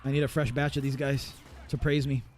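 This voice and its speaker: A man's voice: medium pitch, little pitch movement, brisk. A man in his thirties streaming a game live, chatting casually and swearing while he plays. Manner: casual, profane, rambling. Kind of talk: live gameplay commentary.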